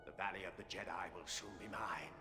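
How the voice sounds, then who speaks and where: An actor playing a cold, scheming dark Jedi villain in a video game cutscene, commanding and deliberate. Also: male voice, low voice, fairly even intonation, close to an everyday voice. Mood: imperious, scheming. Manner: imperious, deliberate. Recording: echoey game cutscene under music.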